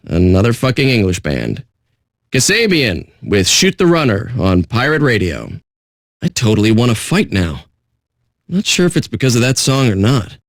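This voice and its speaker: An actor playing a surly, sarcastic radio DJ in a video game, with deadpan, contemptuous patter. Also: male voice, mid-range voice, dry recording, clean studio sound.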